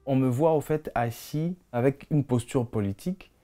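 A man's voice: medium pitch, moderate pace. A man in his thirties, born and raised in Congo, talking reflectively about his art in a documentary interview in his studio. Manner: reflective, expressive hand gestures. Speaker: visual artist.

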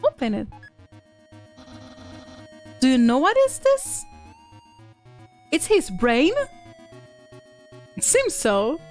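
Girl streamer chatting over game music, kinda slow, giggly, high voice. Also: huge pitch swings, a little mellow. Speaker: livestreamer. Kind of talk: casual gameplay stream chat.

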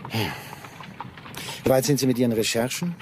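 A man's voice: medium pitch, moderate pace, background noise, natural voice. An actor playing a musing, inquisitive senior police inspector in a radio drama, questioning his subordinate. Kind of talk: German radio drama acting. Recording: faint vehicle ambience behind. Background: faint moving-vehicle sounds.

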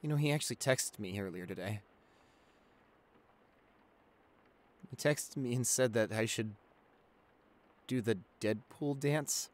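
A man playing a shy, anxious young boyfriend in an ASMR roleplay, speaking softly with hesitant stammers. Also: medium pitch, moderate pace, natural voice. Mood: shy, musing. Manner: hesitant, stammering, soft-spoken. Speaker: audio roleplay performer.

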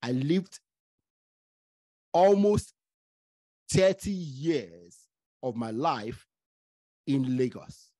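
A middle-aged man from Nigeria, hosting a podcast from a home study with a studio mic, speaking deliberately with stuttering restarts. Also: mid-range voice, animated, dark tone. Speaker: podcast host. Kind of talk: casual interview chat. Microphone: studio mic with headphones.

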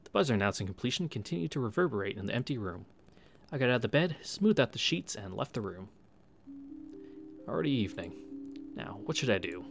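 A man's voice: mid-range voice, big pitch swings, a little fast, vocal fry, pauses often. A young man reading a visual novel aloud and adding casual, wry asides. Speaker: let's-play narrator. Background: game music.

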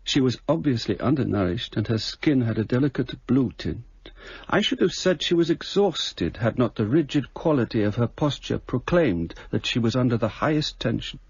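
A sober English gentleman narrating a radio mystery. His husky, mid-pitched voice is measured and literary, recorded dry. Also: expressive, no echo, muffled recording. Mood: sober, reflective. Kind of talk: scripted radio drama narration.

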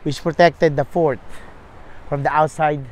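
A man's voice: on the high side, steady tone, unhurried, a little mellow. A man in his thirties narrating a walking tour outdoors on a lapel mic, reading signs aloud in a plain explanatory way. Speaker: travel vlogger. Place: outdoors at a riverside historic site. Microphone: lapel mic, selfie camera at arm's length.

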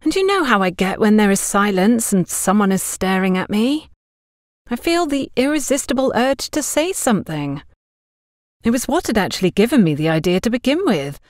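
Woman voicing a nervous, earnest young woman, raised pitch. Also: big pitch swings, normal speed, no echo, clean studio sound, natural voice. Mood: flustered, defensive. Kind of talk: audio story character dialogue.

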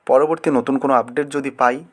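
This is a man with a medium-pitched voice. He talks like an online exam-prep host addressing students directly. His delivery is informal and halting, and he repeats phrases to stress them. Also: steady tone. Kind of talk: informal news update to students.

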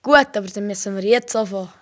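A woman's voice: mid-range voice, quick pace, fairly bright tone, natural voice. A woman acting a blunt young villager with a Valais Swiss German accent in an amateur radio play. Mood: blunt, instructing.

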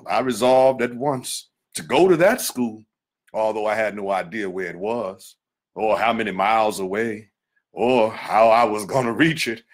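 A man giving a dramatic first-person reading of a memoir in an expressive storytelling manner. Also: lowish voice, unhurried. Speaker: reader performing a historical autobiography.

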